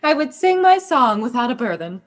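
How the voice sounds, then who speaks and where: A woman's voice: fairly high pitch, sing-song, normal speed. A woman playing a merry, teasing young cousin and confidante in a Shakespearean radio play, playful and exclamatory.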